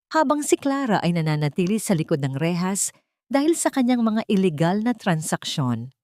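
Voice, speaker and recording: Woman, lowish pitch, lively intonation, measured emotive delivery, scripted dramatic narration, close dry recording. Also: no echo. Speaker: narrator of an audio love story.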